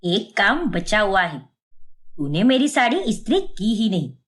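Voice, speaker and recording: A woman's voice: medium pitch, very animated, a little slow, fairly bright tone. Lady doing a bossy sneering mother-in-law for a cartoon story, middle-aged, show-off, swings up and down a lot. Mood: bossy, boastful. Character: haughty, taunting middle-aged mother-in-law with government job.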